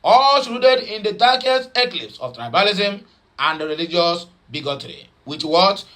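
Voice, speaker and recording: Nigerian pidgin guy doing news commentary, high voice, mocking and rambly. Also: expressive, measured pace, pushed and bright. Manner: chatty, mocking, switches between Pidgin, English and Igbo; rambling with many fillers and repeats.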